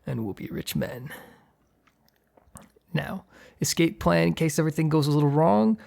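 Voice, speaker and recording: A man playing a gruff, short-tempered mob boss in an ASMR roleplay, with a gravelly, husky voice. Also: fairly high pitch, expressive, moderate pace. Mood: commanding, businesslike. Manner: commanding street-tough slang with crew, flustered hushed stammer with partner. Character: gruff, short-tempered male mob boss hiding his work from partner.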